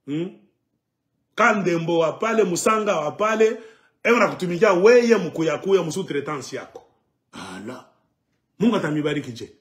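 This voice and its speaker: A middle-aged man, delivering an impassioned, emphatic political monologue to camera at home. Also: on the high side. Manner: emphatic, animated, sweeping arm gestures. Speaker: political commentator. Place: living room at home, indoors.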